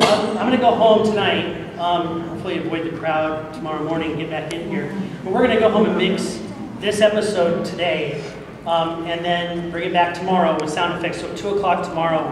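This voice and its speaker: A man speaking informally as he explains things on a convention panel as an audio drama producer. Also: sits high. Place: large indoor convention room. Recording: very echoey hall, distant mic, noisy.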